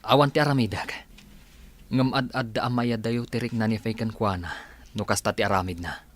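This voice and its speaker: A man's voice: lowish voice, fairly even intonation, moderate pace, close to an everyday voice. A man with a northern Philippine accent playing an earnest young man in a radio drama, plain and conversational. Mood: pensive.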